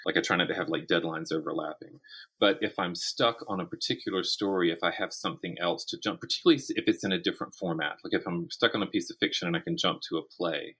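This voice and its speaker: A middle-aged man with a low, husky voice that still carries a bright, forward edge. He talks conversationally as an interview guest, thinking aloud and restarting phrases as he goes. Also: fast. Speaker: guest, writer and academic. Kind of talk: conversational interview about writing craft.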